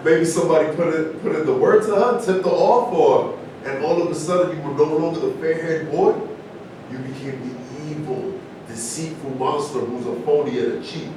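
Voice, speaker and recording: A skeptical, needling male former star, played by a man, has a fairly high voice in a stage play. It is captured from a distance in a very reverberant, noisy room. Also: normal speed, lightly acted. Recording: echoey room from far off, rumbling noise.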